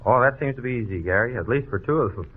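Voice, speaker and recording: Male voice for 1940s radio quiz banter, casual, very lively mid-range pitch, narrow tinny broadcast sound. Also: quick pace. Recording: noisy lo-fi old radio broadcast.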